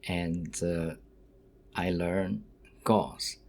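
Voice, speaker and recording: Low-voiced, slow-talking male lecturer, non-native English. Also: fairly even intonation, gravelly, a little mellow. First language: not English. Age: middle-aged. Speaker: university lecturer. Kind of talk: lecture presentation to students.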